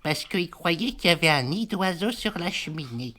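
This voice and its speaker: Comedy prank call: exasperated old lady played by a woman, low-pitched but very swooping, stammering, repetitive, faint noise behind. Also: expressive, moderate pace, a bit bright. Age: elderly, as played. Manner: hesitant, stammering, sighing, repetitive. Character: exasperated rambling 82-year-old woman fed up with her brother.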